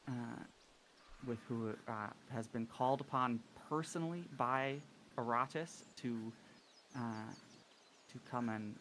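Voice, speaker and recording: Man, bright voice, halting, lots of fillers, tabletop player, faint noise. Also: medium pitch. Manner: halting, many fillers and restarts. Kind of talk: describing his character in a tabletop game.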